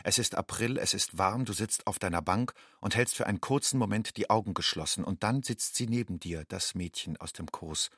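A man playing a young undocumented student, an anxious outsider, in a measured, introspective radio drama monologue. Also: fairly low pitch, fairly bright tone, husky, no room sound, studio quality. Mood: tender, tense. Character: young illegal immigrant student, anxious, inwardly longing outsider.

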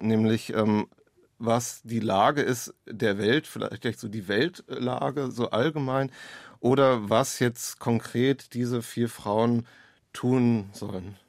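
A man's voice: medium pitch, dry recording, studio quality. A man speaking as an author in an interview, picking his phrasing hesitantly as he explains his work. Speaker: author and playwright. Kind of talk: interview conversation about own work.

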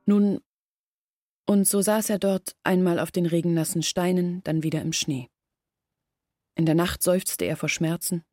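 An actress playing a grieving young village woman who tells of her family's downfall in an earnest, measured radio drama narration. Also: low voice, mellow, no echo, clean studio sound.

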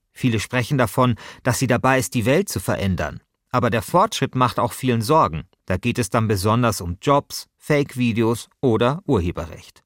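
A man's voice: medium pitch, no room sound, clean studio sound. A man, narrating a podcast from a script as its host.

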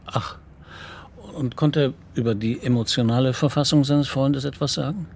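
An actor playing a composed, considerate middle-aged police commissioner in a radio play, husky and gravelly, asking questions in a measured, polite way. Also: male voice, mid-range voice, a little mellow, slight background noise, lightly acted. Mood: businesslike.

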